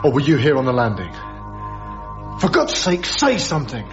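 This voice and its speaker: Haunted young guy in a radio drama, creaky low voice, bit muffled, music under it. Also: huge pitch swings, normal speed. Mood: agitated, pleading. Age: young adult. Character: earnest, haunted young male lodger, gently persuasive. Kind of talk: radio drama acting with reflective narration.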